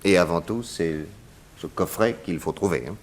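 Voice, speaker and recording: An actor playing a shrewd, courteous detective who questions people with theatrical confidence, in a 1960s radio serial. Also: male voice, low voice, normal speed. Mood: confident, deductive. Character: shrewd, probing, confident American male detective. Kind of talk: 1960s French radio drama acting.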